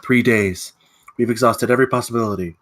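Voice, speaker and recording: A man reading the part of a secretive government recruiter at a screenplay table read, measured and persuasive. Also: medium pitch, fairly bright tone, a little hiss or hum, lightly acted. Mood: urgent, grave.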